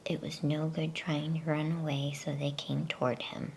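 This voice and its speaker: A woman softly whispering an ASMR bedtime story as storyteller, hushed, soothing and unhurried. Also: low-pitched, level tone, creaky, slight background noise, low-quality recording.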